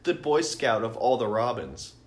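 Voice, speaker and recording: A man's voice: mid-range voice, sing-song, normal speed. A man co-hosting a podcast, chatting casually with strong opinions and salty, slangy language. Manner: profane, slangy. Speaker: podcast co-host.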